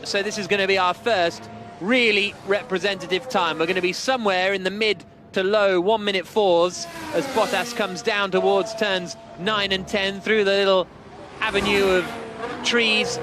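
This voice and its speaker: A man's voice: high-pitched, steady tone, normal speed, over music. A man working as lead commentator, calling a motorsport session live on radio.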